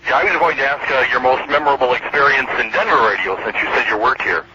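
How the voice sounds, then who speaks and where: A man's voice: medium pitch, quick pace, a loud crowd. A man phoning in to a radio show to put questions to a guest. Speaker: phone-in caller. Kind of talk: asking questions on call-in radio. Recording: dull, noisy telephone call on radio.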